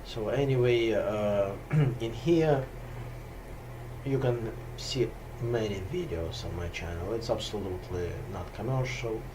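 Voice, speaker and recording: Slow deep Russian-accented man. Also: noisy background. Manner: hesitant, many fillers and pauses, occasional throat clearing. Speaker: yoga teacher. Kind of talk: slow reflective monologue.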